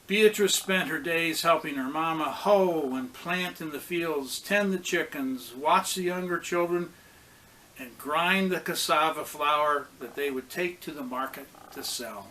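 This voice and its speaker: A man, a pastor, reading a children's story aloud in a deliberate, expressive way with pauses. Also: on the high side, low-quality recording.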